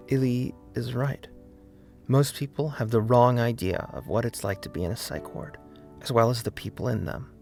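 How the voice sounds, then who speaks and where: Slightly creaky man narrating a video essay. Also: medium pitch, darkish tone. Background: faint background music.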